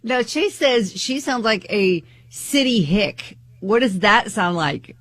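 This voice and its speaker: A woman from northern Indiana co-hosts a radio show in a relaxed chat at mid pitch. She answers in short, quick replies and reads listener texts aloud. Her voice is close-miked and dry. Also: no echo. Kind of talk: casual on-air chat.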